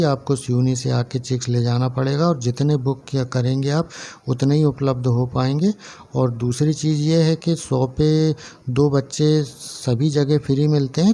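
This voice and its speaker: A man's voice: mid-range voice, mellow, a little hiss or hum. A man who hosts a poultry farming channel, speaking casually and earnestly to his viewers, explaining step by step and listing items one after another. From central India. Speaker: poultry farmer and channel host. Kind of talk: casual instructional talk to camera.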